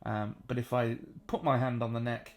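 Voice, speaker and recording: A man with a baritone voice, sitting on a sofa at home and casually explaining how to play the banjo, with plenty of ums and uhs. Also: level tone. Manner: chatty, unhurried, frequent ums and uhs. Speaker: banjo teacher. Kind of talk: relaxed spoken music tutorial.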